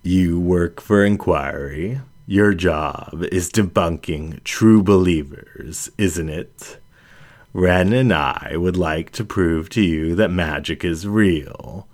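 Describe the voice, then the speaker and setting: A man reads the part of a grown lioness witch from a storybook, calm and kind. The voice is low and very unhurried, with gentle, solemn earnestness in the phrasing. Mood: confident, inviting. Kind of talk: storybook character reading.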